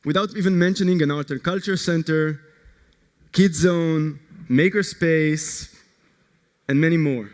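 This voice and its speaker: A man from Israel, a startup co-founder, giving an earnest, energetic conference pitch that puts direct questions to the audience. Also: a little slow, clean tone. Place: large conference hall. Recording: long hall reverb.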